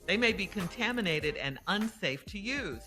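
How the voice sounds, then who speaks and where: A co-host reading news items and game questions on morning radio, laughing often. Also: female voice, mid-range voice, normal speed, faint music behind. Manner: bright, laughing, lively delivery.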